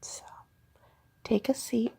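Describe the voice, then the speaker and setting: A woman's voice: dark tone, natural voice. Woman as a creepy whispering fox girl. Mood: sinister teasing. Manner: slow whispering, sinister teasing. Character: creepy, teasing fox girl creature held in containment. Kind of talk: ASMR horror roleplay.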